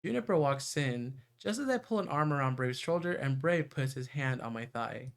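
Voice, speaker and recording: A man's voice: mid-range voice, animated, normal speed, vocal fry. A man streaming a visual novel, reading its narration aloud and reacting live. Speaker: game streamer. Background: game music.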